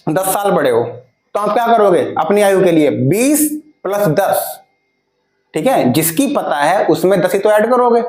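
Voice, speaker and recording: A man in his twenties teaching math for an exam, addressing students directly and reading word problems aloud slowly, word by word. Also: high voice, reverberant. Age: 20s. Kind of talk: exam-prep video lesson.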